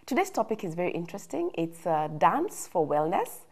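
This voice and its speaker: A woman from Kenya hosting a TV talk show in a studio, chatty and quick to affirm her guest. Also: lowish voice, sing-song, darkish tone. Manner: chatty, frequent affirming fillers.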